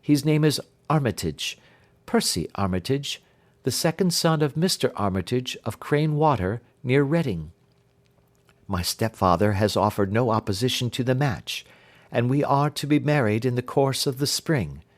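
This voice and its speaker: Man reading as a distressed young Victorian gentlewoman. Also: mid-range voice, animated, normal speed, lightly acted. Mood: anxious. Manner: earnest, formal Victorian phrasing. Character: Helen, distressed young gentlewoman recounting her sister's death.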